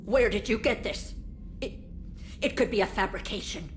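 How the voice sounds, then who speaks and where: A woman's voice: mid-range voice, normal speed, background noise, close to an everyday voice. An actress playing a stern, obstinate female alien politician in a video game, speaking with cold, clipped haughtiness. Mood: flustered.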